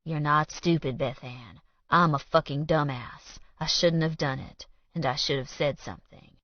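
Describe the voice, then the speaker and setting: A woman narrator voicing a stoic, remorseful man, speaking softly and solemnly in a flat, ragged tone for an audiobook romance. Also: low voice, dry recording, dull audio, close to an everyday voice. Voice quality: ragged, soft. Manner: flat, quiet, solemn, slow. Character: stoic, gruff man, quietly remorseful lover.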